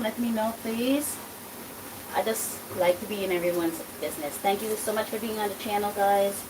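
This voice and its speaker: A woman's voice: medium pitch, distant mic. A woman raised in Trinidad with a Trinidadian accent, chatting casually and rambling as she cooks for her cooking channel. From Dominica, raised in Trinidad and Tobago. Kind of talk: casual cooking vlog chat. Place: home kitchen. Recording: loud kitchen noise, voice off-mic. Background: sizzling food frying.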